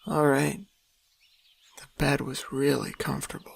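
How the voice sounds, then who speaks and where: A man's voice: medium pitch, steady tone, a little slow, creaky, slightly rough, heavily acted. A man playing a reserved young trainee of few words in an audio drama, subdued and terse. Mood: low-key.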